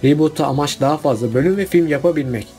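A man's voice: on the high side, fast talker, warm tone, soft background music. A man narrating a casual countdown video about cartoon finales in a chatty voice-over.

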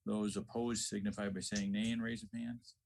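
A man's voice: fairly low pitch, level tone, normal speed, gravelly, a little mellow, a little hiss or hum. A man chairing a board meeting with formal procedure.

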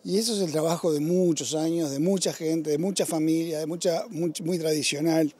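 A man's voice: a little high, steady tone, normal speed, warm tone, breathy edge. An older man with a Uruguayan accent answering emphatically in an outdoor interview, repeating words. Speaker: breeders' society president. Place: outdoors at a showground. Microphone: handheld interview microphone.

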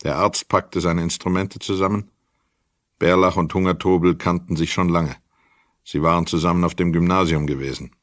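A man's voice: sits low, fairly even intonation, moderate pace, fairly bright tone, dry recording, clean studio sound. A man reading scripted literary narration as the narrator of a crime radio play.

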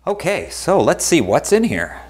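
Man, thirties, unboxing vlog host, enthusiastic, very animated intonation, faint background hiss. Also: fairly high pitch, a little slow. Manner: enthusiastic, chatty, lively exclamations. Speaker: veterinarian and channel host. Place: living room with wooden table, indoors.

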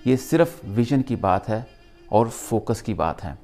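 A middle-aged man with a Pakistani accent gives an earnest, emphatic motivational talk to camera in deliberate, paused phrases. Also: mid-range voice, very animated, mellow, faint music behind. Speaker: vlogger, motivational speaker.

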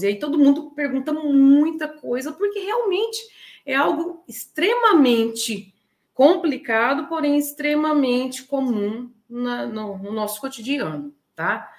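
Brazilian woman giving an informal lecture. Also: on the high side. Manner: explanatory, frequent check-in tags. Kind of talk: informal online lecture with slides. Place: indoor room, online talk. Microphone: video call.

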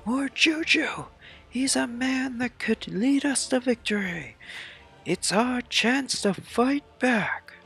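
A man voicing an excitable, loyal army officer with urgent delivery in a video game read-along. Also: takes it slowly, vocal fry, full character voice. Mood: fervent. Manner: urgent, high-pitched declaiming. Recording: game music behind.

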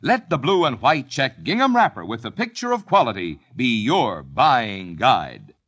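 Vintage radio ad guy, super lively swoopy sell, cheerful pitch. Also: a little slow, faint music behind. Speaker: commercial announcer. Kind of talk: 1950s radio ad read.

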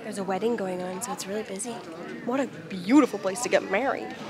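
A woman's voice: medium pitch, fast talker, a crowd in the background. A woman in her thirties chatting casually as a travel vlogger, talking to a camera at arm's length. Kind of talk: casual vlog chat.

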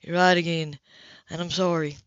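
A woman's voice: on the low side, flat delivery, moderate pace, dry recording, lo-fi sound, pitched below the performer's own voice, close to an everyday voice. A woman voicing a blunt, impatient, hot-headed male racer in an audiobook romance. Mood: shaken, pleading. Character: hot-headed male racer, gruff, defensive, earnest lover.

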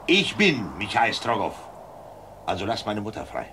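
An actor playing a proud, defiant courier hero, declaiming intensely in a radio play. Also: male voice, fairly low pitch, huge pitch swings, moderate pace, pushed and bright, exaggerated voice. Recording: faint background noise.

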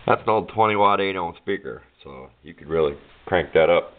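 A man's voice: low-pitched, moderate pace. A plainspoken, rambling man giving an informal hands-on walkthrough of a DIY project, recorded indoors on a handheld camera mic. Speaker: DIY electronics hobbyist and presenter. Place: indoors at a home workbench. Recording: muffled, narrow phone-like camera audio.

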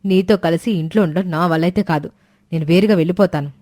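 A woman's voice: fairly low pitch, fast talker, mellow, no echo, clean studio sound, close to an everyday voice. A woman voicing a proud, easily hurt young daughter-in-law in a moral story. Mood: resentful. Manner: earnest, complaining.

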